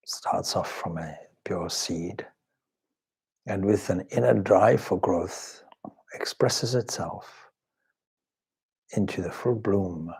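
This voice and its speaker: Male meditation leader, low-pitched and heavily breathy-husky, measured and reverent, pausing often. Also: low-quality recording. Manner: measured, reverent, pausing between short phrases. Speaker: swami leading a guided meditation. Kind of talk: slow spoken guided meditation.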